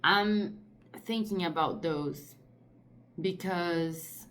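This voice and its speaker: A woman in her thirties from Brazil, Portuguese her first language, talking casually to camera in English with a Brazilian accent, often pausing to find her words. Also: on the low side, fairly bright tone, a little hiss or hum. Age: 30s. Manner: thoughtful, searching for words with many "like"s. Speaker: artist vlogger.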